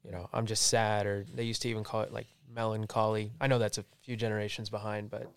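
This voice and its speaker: A man's voice: lowish voice, level tone, fast, creaky, clean tone, dry recording. A nineteen-year-old man chatting as a guest in a podcast discussion. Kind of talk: casual discussion.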